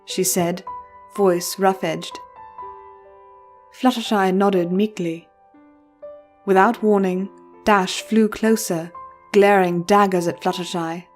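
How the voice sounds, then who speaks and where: A woman's voice: medium pitch, fairly even intonation, slow, long pauses, a little mellow, soft background music. A woman narrating a story in a dramatic, scripted reading style.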